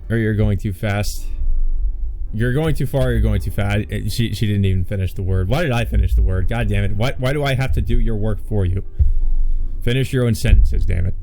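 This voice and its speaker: A man's voice: on the low side, brisk, warm tone, soft background music, low-quality recording. A man hosting a let's play, reading a visual novel aloud with casual commentary.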